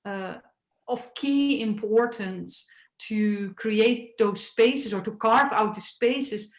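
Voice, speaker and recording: A woman's voice: fairly high pitch, takes it slowly, reverberant. A woman artist speaking thoughtfully on a panel, with frequent "like" fillers. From Netherlands. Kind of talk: panel discussion.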